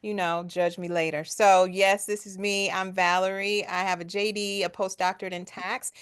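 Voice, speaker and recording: A woman's voice: medium pitch, flat delivery, moderate pace, smooth. A woman, a tax attorney from the United States, speaking as a guest in a webinar over a video call in a confident, conversational way. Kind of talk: webinar presentation.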